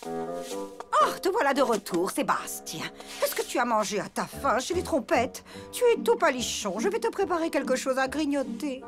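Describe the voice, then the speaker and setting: A woman's voice: high-pitched, big pitch swings, moderate pace, over music. A woman voicing a fussy, snobbish cartoon mother with an affected manner.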